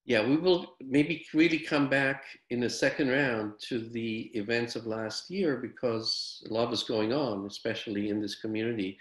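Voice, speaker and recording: A male panel moderator with a mid-range voice speaks haltingly and fills his pauses with ums and uhs. The room around him gives his voice a noticeable echo. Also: medium pitch, steady tone. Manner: hesitant, many fillers. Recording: roomy echo.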